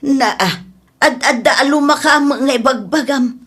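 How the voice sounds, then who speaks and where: A woman's voice: sits high, measured pace, fairly bright tone. A woman playing a blunt, scolding villager in an Ilocano radio drama, with an Ilocano Filipino accent. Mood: blunt, chiding.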